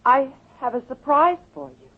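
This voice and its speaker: A sarcastic young sidekick. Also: female voice, high voice, moderate pace, vocal fry. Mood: wry. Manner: wry, sarcastic. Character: wisecracking young female sidekick. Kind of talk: 1940s radio acting. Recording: muffled 1940s radio with faint hiss.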